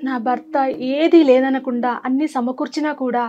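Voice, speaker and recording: A woman's voice: high-pitched, fairly even intonation, moderate pace, mellow, lo-fi sound, a little hiss or hum. A woman, telling her personal story as a talk show guest. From Andhra Pradesh, India. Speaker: guest seeking advice.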